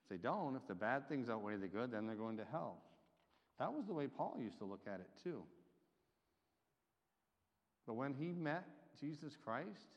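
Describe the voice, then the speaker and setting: A man preaches with emphatic swells and drops in loudness. His voice rings with a long reverb in a church hall, and the recording sounds dull and muffled. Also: mid-range voice, sing-song, moderate pace, long pauses. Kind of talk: sermon.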